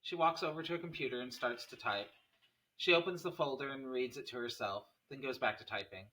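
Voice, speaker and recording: Bright, raspy male screenplay narrator. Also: medium pitch, fairly quick, low-quality recording. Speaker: narrator reading stage directions. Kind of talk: scripted narration of a screenplay table read.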